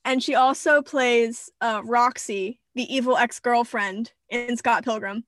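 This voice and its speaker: A woman's voice: a little high, a little slow, no room sound. A young woman chatting casually with friends, eagerly sharing trivia. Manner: animated, enthusiastic trivia sharing. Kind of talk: casual chat.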